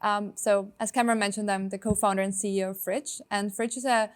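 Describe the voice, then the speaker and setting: A woman's voice: mid-range voice, flat delivery. Upbeat young woman from Estonia. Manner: upbeat, quick, many "like" fillers. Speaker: startup founder and guest. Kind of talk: casual interview answers.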